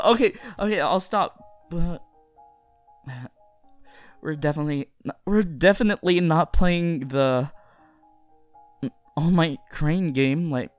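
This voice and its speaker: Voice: medium pitch, no echo, close to an everyday voice. A performer voicing a nervous, sweet teenage boy hero-in-training who stammers and mumbles in a fan roleplay audio. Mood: flustered, bashful. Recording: music behind the voice.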